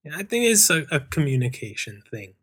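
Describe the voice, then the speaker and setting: A man hosting a soccer discussion, giving measured, hedging analysis. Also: a little high, very animated, a bit bright, husky. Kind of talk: casual chat.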